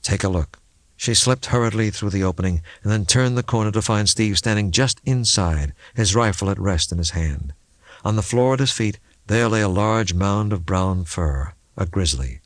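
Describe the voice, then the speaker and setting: A man reading a suspense novel as an audiobook narrator, in a measured, dramatic way. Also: lowish voice, no room sound.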